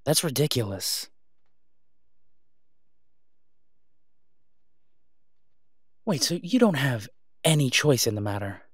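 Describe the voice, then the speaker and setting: Guy playing a worried loving boyfriend in an audio rp, earnest n halting, mid pitched male voice, no room sound. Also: big pitch swings, husky, no room sound, studio quality. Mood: shocked, sympathetic. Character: worried, devoted human boyfriend of a werewolf. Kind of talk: intimate audio roleplay drama.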